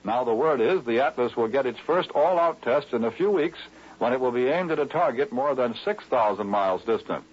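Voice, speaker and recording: Husky American radio newsman. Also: mid-range voice, expressive, a little fast. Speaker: news announcer. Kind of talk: 1950s radio newscast. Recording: muffled vintage radio recording.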